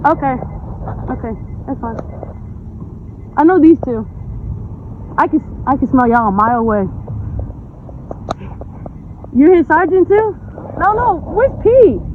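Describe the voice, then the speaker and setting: A woman talks back to officers in a high, sarcastic voice, with short, defiant replies. She is recorded outdoors on a phone. The sound is thin and tinny, with clear street noise behind her. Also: lively intonation, a little slow, pauses often. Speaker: person filming police. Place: outdoors in a parking lot.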